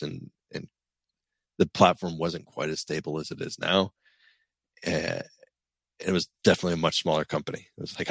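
Middle-aged male co-host, deep voice, rambling and self-deprecating. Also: creaky, fairly bright tone, dry recording. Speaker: podcast co-host. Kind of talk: casual podcast chat.

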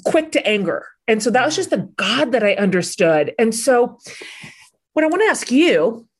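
A woman hosting an interview, speaking in a thoughtful, measured way and drawing out words for emphasis. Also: fairly high pitch, big pitch swings, breathy edge. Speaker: podcast host. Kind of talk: interview conversation.

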